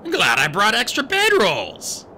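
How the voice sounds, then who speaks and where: A man's voice: high voice, wildly swooping pitch, unhurried, bright, forceful tone, a little hiss or hum, pitched above the performer's own voice, full character voice. A man reading an audiobook voices a nervous, eager young satyr. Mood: gleeful. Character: nervous young male satyr, sleep-loving.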